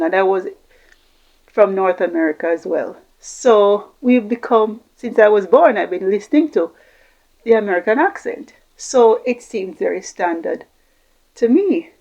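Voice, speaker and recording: A woman's voice: medium pitch, normal speed, a little mellow, muffled recording. A woman giving an informal explanatory talk, reflective and repeating phrases for emphasis. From Jamaica, Caribbean. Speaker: host giving communication tips.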